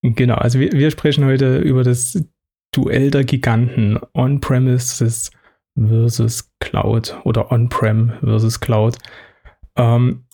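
A man's voice: mid-range voice, flat delivery, takes it slowly, creaky, mellow. A man hosting an IT podcast, asking questions with plenty of hesitations and restarts. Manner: hesitant, many fillers and restarts. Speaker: podcast host. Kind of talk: casual interview chat.

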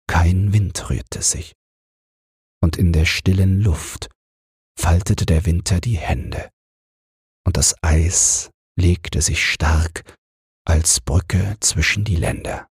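A man narrating a bedtime fairy tale audiobook in a calm, measured, husky, breathy voice. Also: low-pitched, no room sound, studio quality.

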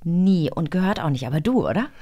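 A woman's voice: on the low side, sing-song, a little fast, mellow, no room sound, clean studio sound. A woman co-hosting a radio magazine in a casual, chatty interview style. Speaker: co-host of a radio play magazine.

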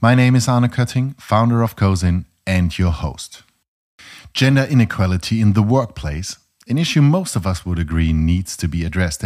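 A man hosting a podcast, reading a measured, formal introduction and then asking interview questions. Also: deep voice, darkish tone. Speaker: podcast host.

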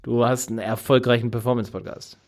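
A man's voice: medium pitch, little pitch movement, quick pace, darkish tone. A man in his late twenties co-hosting a podcast in casual chat, talking emphatically and laughing often. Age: 20s. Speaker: podcast co-host.

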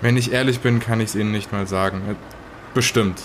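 A man playing a guilt-laden, evasive smuggler in an improvised audio drama, with terse, halting, resigned delivery. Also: lowish voice, steady tone, slight background noise, lightly acted.

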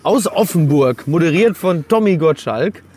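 A man with a fairly high voice chats as a podcast co-host. He tells stories informally, with a joking, sarcastic edge. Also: animated, a little slow, darkish tone, breathy edge. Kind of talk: casual humorous football podcast chat.

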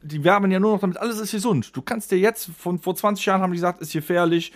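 A man co-hosting a casual podcast among friends, given to animated, mock-exasperated rants. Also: high voice, rapid-fire, no echo, clean studio sound. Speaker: podcast co-host.

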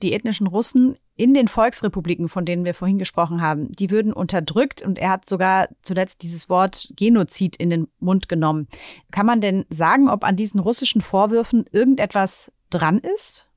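A woman presents the news in a clear, composed manner, setting out background and then posing questions. Her voice sits close to the studio microphone with a dry sound and no room echo. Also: medium pitch, normal speed, darkish tone. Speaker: news host. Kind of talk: news interview moderation.

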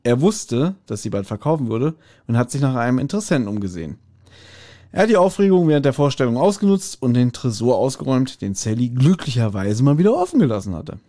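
A man's voice: medium pitch, big pitch swings, fast talker, mellow. A man co-hosting a podcast, casually retelling and reviewing a story in a colloquial, opinionated way. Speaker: podcast co-host.

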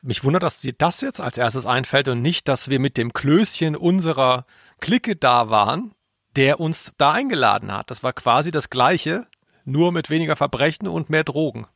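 Creaky-voiced man, very dry sound. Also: mid-range voice, animated, moderate pace, fairly bright tone, no echo. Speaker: podcast co-host. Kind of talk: casual conversational podcast chat.

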